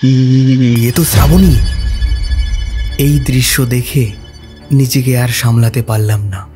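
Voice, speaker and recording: A man telling a first-person ghost story for a cartoon, calm and reflective. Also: medium pitch, takes it slowly, faint music behind.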